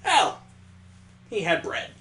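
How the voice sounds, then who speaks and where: A man's voice: slow pace, pushed and bright. A man giving mocking, sweary commentary as he reads a visual novel aloud on a gaming playthrough. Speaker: gamer host reading a visual novel aloud. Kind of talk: playthrough commentary mixed with dramatic reading. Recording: echoey, roomy sound.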